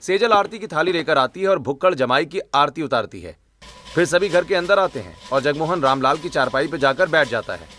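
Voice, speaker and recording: A man's voice: a little high, expressive, brisk, pushed and bright. A man reading scripted narration for a cartoon family story.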